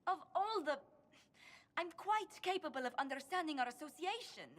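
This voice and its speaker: A flustered, courtly ambassador. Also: female voice, high voice, animated, normal speed, a bit bright. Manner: formal, flustered, stammers when caught off guard. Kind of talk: video game dialogue.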